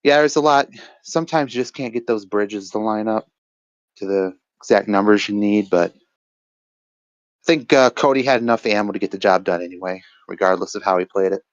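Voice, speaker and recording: A man's voice: fairly low pitch, moderate pace, dry recording. Hesitant man commentating, dull lo-fi sound. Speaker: match analyst and co-commentator. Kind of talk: casual esports-style card game commentary.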